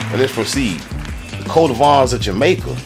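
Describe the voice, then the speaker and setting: A man with a baritone voice hosting a stream, talking in an excitable, joking and profane way. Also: normal speed, a crowd in the background. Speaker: streamer host. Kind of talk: loud ranting commentary. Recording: music playing underneath.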